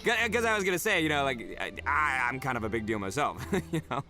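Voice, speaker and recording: A man's voice: medium pitch, very animated, fairly quick. An actor playing a brash, boastful young male oni gang leader who laughs often and talks in slang, in a video game. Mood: bashful. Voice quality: loud, rowdy and cheerful. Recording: game audio with music behind.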